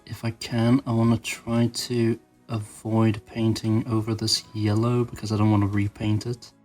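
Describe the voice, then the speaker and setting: A man's voice: fairly low pitch, monotone, normal speed, a little mellow, faint music behind. A man giving informal, self-deprecating hobby commentary, thinking aloud as he works through a craft project. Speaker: toy customizer, channel host. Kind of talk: casual rambling hobby commentary while painting.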